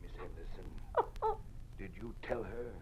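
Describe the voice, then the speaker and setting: An actor playing a protective, kindly old college dean in an old-time radio drama. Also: male voice, mid-range voice, wildly swooping pitch, slow, creaky, darkish tone, exaggerated voice. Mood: stern, angry. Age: older. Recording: noisy old radio recording.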